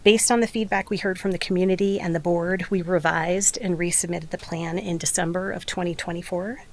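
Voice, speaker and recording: A woman with a slightly hoarse voice giving a prepared, formal presentation as a development applicant at a public meeting. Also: fairly low pitch, steady tone, brisk.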